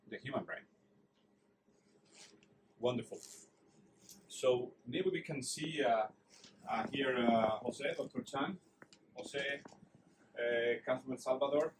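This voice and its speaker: A middle-aged man, a neurosurgeon leading a casual tour of an anatomy lab, praising the work in an enthusiastic, halting way with many fillers. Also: medium pitch, slight background noise, rough recording.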